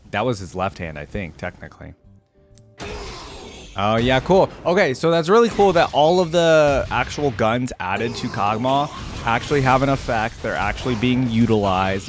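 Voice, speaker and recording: A young man hosting a casual reaction video, thinking aloud as he watches, with frequent exclamations and laughs. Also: medium pitch.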